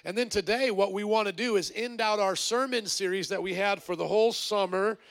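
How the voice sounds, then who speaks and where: A man's voice: sits high, brisk, creaky. A pastor with a congested voice, rallying his congregation at the start of a church service. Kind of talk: energetic sermon opening.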